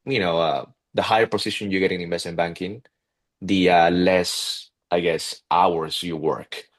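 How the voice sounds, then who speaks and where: A man in his twenties with a heavy Peruvian accent, talking casually as a guest, halting with many fillers and restarts. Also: low voice, steady tone. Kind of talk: casual interview talk.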